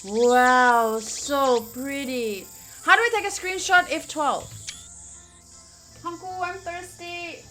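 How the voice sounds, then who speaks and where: A woman's voice: high voice, steady tone, takes it slowly, sharp tone. A woman game streamer reading a visual novel aloud theatrically, mixed with casual reactions. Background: faint game music.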